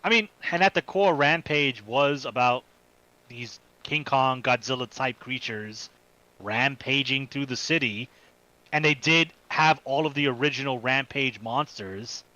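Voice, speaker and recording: Bright, forceful male podcast co-host, dry sound. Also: mid-range voice, a little slow, no room sound, low-quality recording. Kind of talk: casual chat, film ranking banter.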